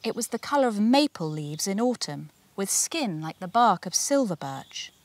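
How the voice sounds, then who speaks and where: A woman reading her own children's storybook aloud in an expressive, deliberate storyteller's manner with dramatic emphasis. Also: mid-range voice, dry recording.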